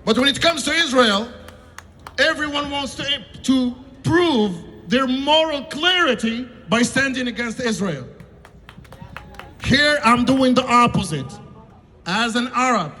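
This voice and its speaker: Man shouting an impassioned rally speech. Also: extremely high pitch, unhurried, big-room sound. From Morocco. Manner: impassioned, shouting over a crowd. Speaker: rally speaker. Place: outdoor street rally.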